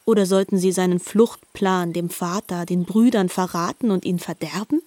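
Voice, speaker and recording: Female narrator, literary radio drama; soft dark tone, dry studio sound over music. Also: on the low side, steady tone, normal speed, no room sound.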